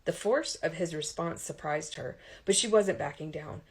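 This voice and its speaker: A woman reading a romantic comedy story aloud in an expressive audiobook narration style. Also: sits low, normal speed, breathy edge.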